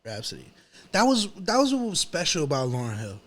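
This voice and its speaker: Man, New York accent, fairly high pitch, casual interview guest, informal slang, repeats phrases. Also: huge pitch swings, brisk. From New York City. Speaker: rapper, podcast guest.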